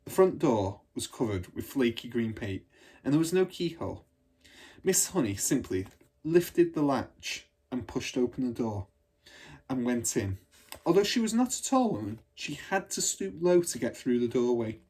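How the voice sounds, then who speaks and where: A man reading a children's storybook aloud like a teacher, in an expressive, measured storytelling manner. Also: mid-range voice, slightly rough. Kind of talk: storybook narration.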